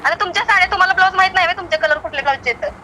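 A woman with a very high, bright voice talks insistently on the phone, with a western Maharashtrian accent. Faint traffic noise sits behind her argumentative speech. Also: rapid-fire, some chatter behind. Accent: western Maharashtrian Marathi. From Karad area, Maharashtra, India. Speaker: tailor. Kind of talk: phone conversation.

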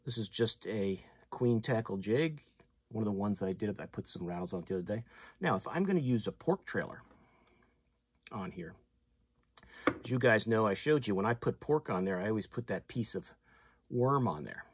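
Husky-voiced man from New demonstrating fishing tackle. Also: on the low side, moderate pace, no echo. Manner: relaxed and chatty, with many fillers and restarts. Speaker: fishing channel host. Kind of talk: casual how-to demonstration. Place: tackle shop or room with walls of packaged lures, indoors.

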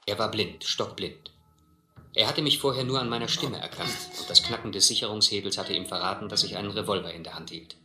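An actor playing an earnest, wary, educated adventurer in a radio drama, speaking in a measured, literary way. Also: male voice, on the low side, echoey room, music in the background, natural voice. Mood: grave, reflective. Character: earnest, wary male castaway narrator, educated gentleman adventurer. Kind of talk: scripted first-person narration and dialogue in radio drama.

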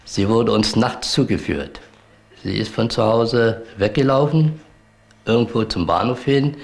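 An older man, a former children's home director, recalling events in an interview with many hesitations. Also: on the low side. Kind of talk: recorded interview.

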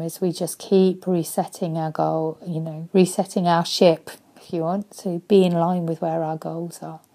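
A woman in her forties, a life coach, speaking earnestly and softly into a webcam in her living room, often dropping to a breathy near-whisper. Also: lowish voice. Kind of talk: motivational vlog talk.

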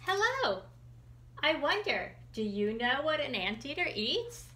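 A woman's voice: high voice, sing-song, measured pace, sharp tone, slight background noise, voice pushed up, full character voice. A woman voicing a polite, earnest, hungry anteater in a picture book read aloud, courteous and questioning. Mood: polite, curious.

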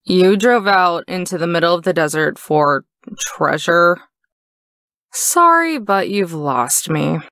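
A woman's voice: lowish voice, expressive, slow pace, a bit bright, dry recording, clean studio sound, heavily acted. An actress playing a blunt, unimpressed young woman traveler in a visual novel, speaking curtly and matter-of-factly. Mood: puzzled. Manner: curt, matter-of-fact.

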